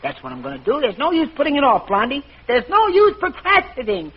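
A man's voice: very high voice, huge pitch swings, quick pace, full character voice. An American actor playing a flustered, wistful, bumbling father in an old radio comedy, stammering and chuckling nervously. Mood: fretful. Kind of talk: 1940s radio comedy acting. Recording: muffled, hissy old radio recording.

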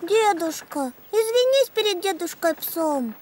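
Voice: very high-pitched, expressive, unhurried, a little mellow, slight background noise. A performer voicing an eager, childlike little pig girl in a children's cartoon dub.

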